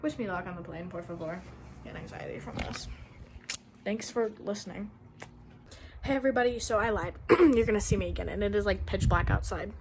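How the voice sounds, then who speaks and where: A woman's voice: medium pitch, background noise. A young woman vlogging in a casual, rambling way, filling her talk with "like" and "um". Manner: rambling, chatty, full of "like" and "um". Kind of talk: casual vlog chat.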